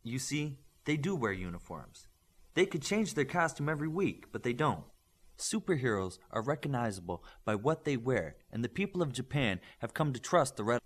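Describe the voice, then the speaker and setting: A male narrator plays a stern mentor of young superheroes in an audiobook. He lectures firmly and with principle, leaning on rhetorical questions, his mid-pitched voice rising and falling emphatically. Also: sing-song, moderate pace, husky. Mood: instructive. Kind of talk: audiobook character dialogue.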